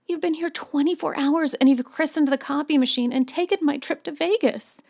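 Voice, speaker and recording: A woman voicing a jealous, unraveling office worker who speaks in sharp, rising, strained bursts. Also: sits high, moderate pace, creaky. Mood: indignant.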